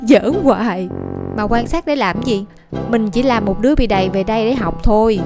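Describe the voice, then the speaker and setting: Woman as a sulky, high-voiced southern Vietnamese schoolboy. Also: moderate pace, close to an everyday voice. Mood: incredulous. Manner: grumbling, exclamatory. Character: sulky, game-obsessed schoolboy, skeptical and whiny. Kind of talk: animated cartoon dialogue. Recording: music clearly behind the voice.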